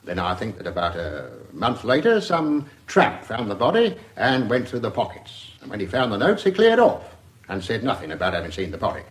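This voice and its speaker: English police inspector on the stand, dutiful, careful, lots of pitch movement, radio play. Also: male voice, huge pitch swings, a little fast. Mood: dutiful, factual. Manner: deferential, factual, careful. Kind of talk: radio drama acting.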